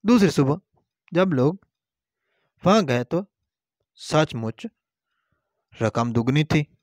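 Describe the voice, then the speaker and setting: A man narrating a moral folk tale as a storyteller, in short, measured phrases. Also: fairly high pitch, expressive, no echo, studio quality. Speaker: storyteller and channel host.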